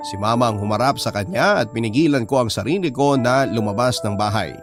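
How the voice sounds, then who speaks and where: A man's voice: mid-range voice, a little fast. A man with a Filipino accent narrating a personal drama story in the first person, as a storyteller reading a letter. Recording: music bed under the voice. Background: prominent background music.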